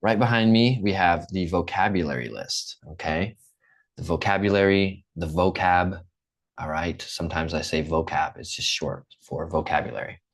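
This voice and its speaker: Deep-voiced American man teaching online. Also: moderate pace. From Midwest United States. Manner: upbeat, repeats and checks in with "okay?". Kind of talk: live online class, teaching.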